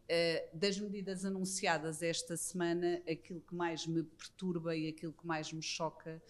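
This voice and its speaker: A Portuguese woman with a European Portuguese accent, an immigration lawyer speaking on a conference panel. She talks earnestly and critically, often restarting her phrases. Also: on the low side. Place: embassy conference room.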